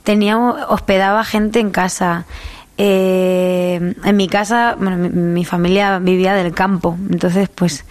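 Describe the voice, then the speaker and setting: A young woman from Albacete with a Manchego accent and Murcian touches, chatting in an interview, full of restarts and quick laughs. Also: lowish voice, level tone, darkish tone. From La Mancha, Albacete, Spain. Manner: chatty, many restarts and fillers, laughs easily. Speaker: singer, interviewee. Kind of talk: casual interview chat.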